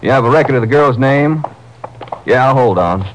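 A man's voice: mid-range voice, expressive, moderate pace, a little hiss or hum, close to an everyday voice. An actor playing a practical, easygoing Texas lawman with plainspoken, clipped delivery in a 1950s radio drama. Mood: matter-of-fact. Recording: dull old radio recording.

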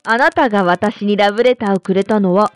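A woman playing a gentle, teasing middle-aged housewife and mother in a radio drama. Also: medium pitch, fairly quick, smooth, no room sound, natural voice.

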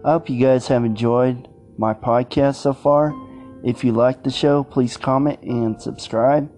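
A man's voice: medium pitch, warm tone. A man hosting a podcast, addressing listeners casually in a scripted outro. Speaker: podcast host.

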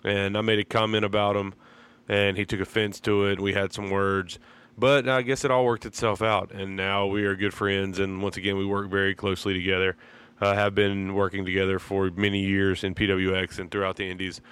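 A man's voice: low voice, level tone, a little fast, fairly bright tone, smooth. A man hosting a podcast, his voice froggy and congested from a sinus infection, talking in a laid-back, rambling way. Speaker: podcast host. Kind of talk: casual podcast intro and chat.

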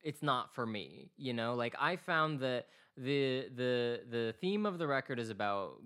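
A man's voice: mid-range voice, animated, unhurried, a bit bright, clear, resonant tone. A man in his twenties co-hosting a music podcast, talking earnestly in a rambling way with many restarts. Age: 20s. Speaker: podcast co-host. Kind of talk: casual chat.

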